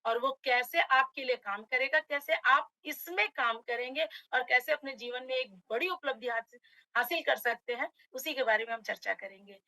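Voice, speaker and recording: A middle-aged woman from Uttar Pradesh with a slightly hoarse throat, giving a motivational online webinar on direct selling and addressing her audience as friends. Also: high voice, normal speed, bright, forceful tone, no room sound, low-quality recording. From Uttar Pradesh, India.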